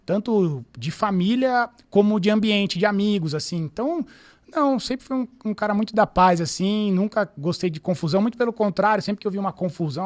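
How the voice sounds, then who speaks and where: A man in his thirties with a São Paulo accent, a police captain calmly answering interview questions about his career. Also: high-pitched. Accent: Paulistano Brazilian Portuguese. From São Paulo, Brazil. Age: 30s. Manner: calm, measured, storytelling. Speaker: military police captain, guest.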